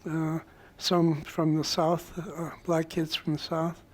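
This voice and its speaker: A man with a soft voice recalling his past as a panelist at a college alumni roundtable. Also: fairly high pitch, completely flat delivery, takes it slowly. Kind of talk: personal recollection on a panel. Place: college event room.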